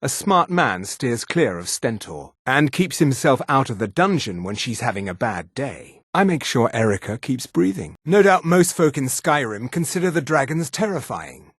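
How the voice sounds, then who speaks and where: An actor voicing a haughty, sneering high elf official in a fantasy video game, speaking in a pompous, condescending, precise manner. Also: male voice, big pitch swings, moderate pace, hardly any pauses, fairly bright tone, breathy edge, no room sound, studio quality, heavily acted. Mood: sly, aloof. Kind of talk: scripted fantasy game dialogue.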